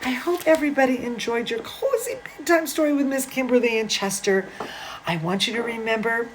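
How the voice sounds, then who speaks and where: A woman reading a picture book aloud to children, adding chatty asides in an expressive, drawn-out way. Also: a little high, noisy background. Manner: animated, drawn-out, expressive delivery. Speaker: storyteller, dance studio teacher.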